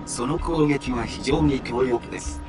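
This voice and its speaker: A man's voice: medium pitch, unhurried, recorded from a distance, natural voice. An actor playing an impassive male android assistant in a game, giving formal, clinical mission announcements with polite detachment. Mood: formal, detached. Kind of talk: formal scripted mission briefing. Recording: loud game music and battle sounds behind. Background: loud music, vehicle noise.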